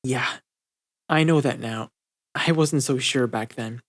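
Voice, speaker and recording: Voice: mid-range voice, slow pace, natural voice. A reader voicing a sheepish, defensive teenage girl in a cartoon fan story, speaking hesitantly. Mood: relieved. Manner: hesitant, subdued.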